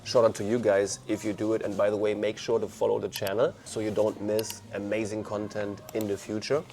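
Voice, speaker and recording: Lowish-voiced guy hosting a chill podcast, friendly, lots of uhs. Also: level tone, fairly quick, mellow. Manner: friendly, filler-heavy. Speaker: podcast host. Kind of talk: casual interview. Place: Leipzig, Germany.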